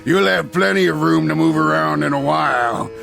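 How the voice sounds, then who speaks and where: An actor playing a loud, taunting, cruel peddler in an old-time radio Western, with a sing-song salesman's patter. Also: on the high side, huge pitch swings, moderate pace, gravelly, over music, full character voice. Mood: gloating, taunting.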